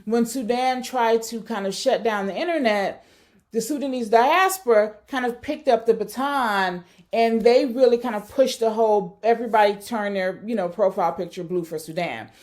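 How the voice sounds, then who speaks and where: Reflective woman, higher voice. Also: moderate pace, darkish tone. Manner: thoughtful, searching, many fillers and self-corrections. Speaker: academic speaker. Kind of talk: reflective research talk.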